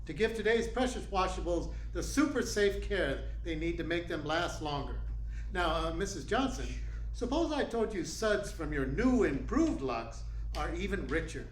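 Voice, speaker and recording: Man playing a high-pitched, lively radio announcer. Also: moderate pace. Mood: upbeat selling. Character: eager 1940s radio announcer pitching soap. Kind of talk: 1940s radio advertising. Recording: echoey room with faint noise.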